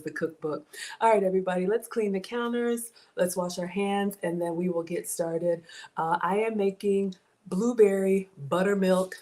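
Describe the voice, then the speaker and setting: A woman born in Ghana and raised in the American South, hosting a casual live cooking stream from her home kitchen, chatting with viewers and reading their comments aloud. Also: fairly low pitch. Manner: chatty and warm with viewers, thanks supporters, says "y'all". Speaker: cooking livestream host.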